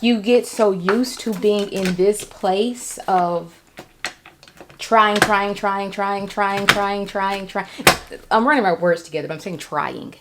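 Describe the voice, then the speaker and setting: A woman vlogging casually and reflectively, sounding nervous, with halting speech that circles back and repeats phrases for emphasis. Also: mid-range voice, noisy background. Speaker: vlogger, writer and storyteller. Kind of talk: casual, reflective vlog talk.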